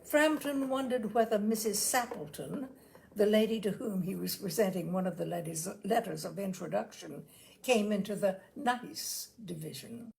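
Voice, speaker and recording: A woman host reading short stories aloud with deliberate, expressive phrasing. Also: mid-range voice, vocal fry. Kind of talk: storytelling, read-aloud narration.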